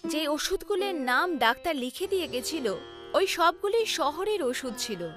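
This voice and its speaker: A woman tells a scripted children's story in a high voice. Her pitch moves animatedly as she narrates, and music plays clearly behind her. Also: sing-song, moderate pace.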